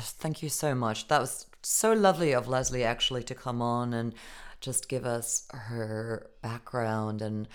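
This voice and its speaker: A woman co-hosting a podcast, speaking graciously and pausing to search for words. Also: very deep voice, clear, resonant tone. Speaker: podcast co-host.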